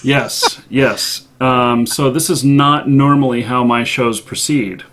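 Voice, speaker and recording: A man hosting a casual live interview over an online call, with halting, hesitant phrasing. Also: mid-range voice, a crowd in the background. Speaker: live stream host. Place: indoor office.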